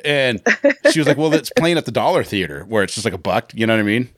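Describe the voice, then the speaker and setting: A man's voice: lowish voice, expressive, fast talker, no echo, clean studio sound. A man hosting a podcast in casual chat, with an informal, anecdotal way of talking. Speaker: podcast host.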